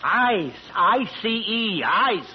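A crackly, muffled radio recording carries a comic gangster henchman. His fairly high voice leaps about in emphatic, broken English, loyal and eager. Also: big pitch swings, very slow, exaggerated voice. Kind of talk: 1940s radio comedy-drama acting.

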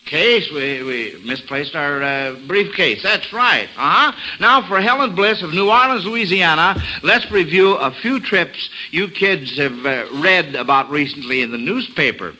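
A man's voice: on the high side, expressive, unhurried, few pauses, bright, forceful tone, poor audio. An American man hosting an old-time radio quiz show for children, with folksy, playful, exclamatory patter. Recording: old radio recording with background noise.

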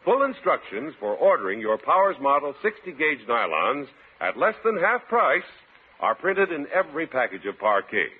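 Animated, high-voiced vintage radio announcer man. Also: moderate pace, slightly rough. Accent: American. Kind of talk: 1950s radio advertisement read, scripted. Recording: tinny, muffled old radio recording.

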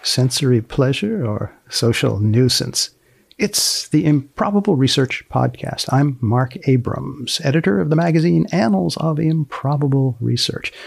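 A man hosting a podcast, narrating and asking questions in a measured, deadpan way. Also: medium pitch, gravelly, breathy edge, dry recording. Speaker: podcast host and magazine editor.

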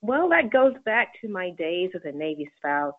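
A woman's voice: fairly low pitch, very animated, moderate pace. Phone-line woman, faintly British American accent. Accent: mostly American with faint British traces. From England. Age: middle-aged. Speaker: podcast guest, realtor. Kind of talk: interview answers. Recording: tinny narrowband phone line.

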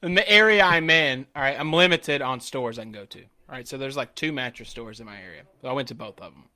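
A man's voice: mid-range voice, fast. A man with a Southern accent, co-hosting a podcast, telling casual stories full of swearing and acted-out quotes. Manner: animated storyteller who acts out quotes. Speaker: podcast co-host. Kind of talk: casual profane chat.

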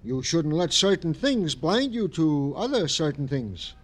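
A man's voice: fairly high pitch, very animated, a little slow. A man acting an earnest, simple-minded police sergeant sidekick with roundabout phrasing in a vintage radio drama. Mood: earnest, puzzled. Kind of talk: 1950s radio comic character acting.

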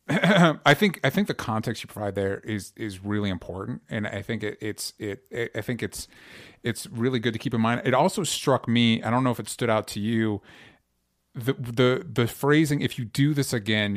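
A man co-hosts a casual chat, speaking hesitantly and often restarting his sentences, with lots of easy agreement. His voice sits at a middle pitch. The sound is dry and close, with no room echo. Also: fairly bright tone. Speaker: co-host.

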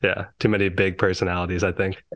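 A man's voice: deep voice, level tone, fast, a bit bright, no room sound. A man hosting a podcast, asking easygoing, chatty questions in a casual interview. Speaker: podcast host.

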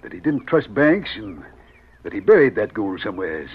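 An actor playing a weary, wary middle-aged drifter in an old radio western, with a husky voice and folksy frontier speech. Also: male voice, on the low side, sing-song, moderate pace. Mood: earnest, troubled. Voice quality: husky, gravelly. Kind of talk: 1940s radio western acting. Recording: muffled old radio recording.

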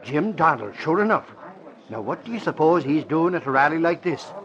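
An actor playing a blustering, folksy newspaper reporter with a hot temper, in a 1940s radio drama. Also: male voice, fairly high pitch, very animated, fairly quick, a bit bright. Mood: chatty, skeptical. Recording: muffled old radio recording, crowd behind.

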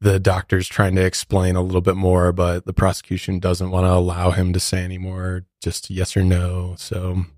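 A man's voice: deep voice, monotone, normal speed, hardly any pauses, darkish tone, no echo, clean studio sound. A man hosting a true crime podcast, casually explaining court clips and the case to his co-host.

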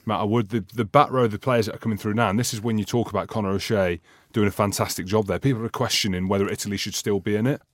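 A man's voice: fairly low pitch, steady tone, fast talker, few pauses, no echo, studio quality. A Scottish man co-hosting a podcast, chatting animatedly and saying "mate" a lot. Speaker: podcast co-host. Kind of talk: casual sports chat.

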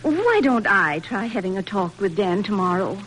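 An actress playing a gentle, tactful wife in a hesitant, soft-spoken 1940s radio drama style. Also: female voice, mid-range voice, big pitch swings, normal speed. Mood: tentative. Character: gentle, tactful wife soothing her husband. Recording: noisy old radio broadcast recording.

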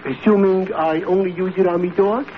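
An actor playing a cheerful, dim-witted, literal-minded barfly in an old-time radio comedy. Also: male voice, high-pitched, fairly even intonation, moderate pace, close to an everyday voice. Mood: dim, agreeable. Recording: muffled, very noisy vintage radio recording.

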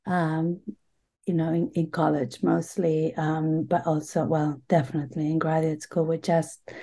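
Slow, gravelly-voiced hesitant woman. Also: lowish voice, mellow. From Argentina. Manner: hesitant, many ums and uhs. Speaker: guest author, professor. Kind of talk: interview.

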